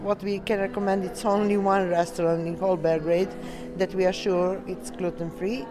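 A woman from Serbia with a Serbian accent, answering interview questions in halting, non-native English as a delegate. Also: medium pitch, little pitch movement, over music. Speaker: coeliac association delegate being interviewed.

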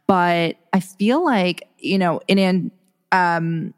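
A woman's voice: fairly low pitch, slow pace. A young woman co-hosting a casual chat podcast, rambling with many fillers and restarts. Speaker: podcast co-host. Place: Los Angeles.

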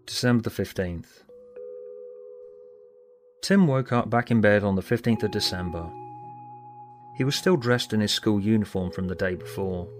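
A man's voice: lowish voice, normal speed, long pauses, faint music behind. A man reading scripted story narration as an audiobook narrator. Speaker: author and narrator.

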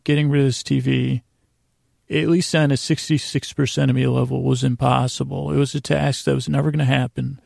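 A man tells personal stories in a gravelly, heavily creaking voice with little melodic movement. His delivery wanders and stalls, often dropping a thought halfway, with a self-deprecating, confessional bent. The sound is close and dry. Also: medium pitch, a little mellow, dry recording. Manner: meandering, halting, trailing off mid-sentence. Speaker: podcast host. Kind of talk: rambling personal storytelling monologue.

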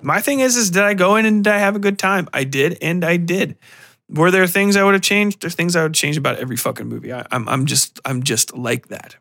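A man hosting a podcast, giving a casual solo film review in a rambling, halting way with offhand swearing. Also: high-pitched, creaky, fairly bright tone. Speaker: podcast host.

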